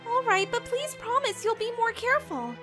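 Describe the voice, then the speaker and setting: A woman's voice: very high-pitched, sing-song, moderate pace, over music. An actress playing a sweet, fretful young housekeeper in a comic dub, with a girlish, earnest and proper manner. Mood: fretful, caring.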